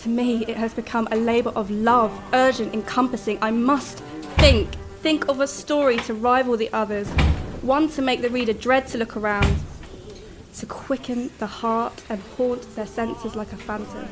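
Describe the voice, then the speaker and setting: A woman's voice: a little high, fairly even intonation, moderate pace, natural voice. An actress playing an anguished, brooding young woman writer in a radio drama, speaking in solemn, formal literary monologue. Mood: urgent, determined. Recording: faint music under the voice.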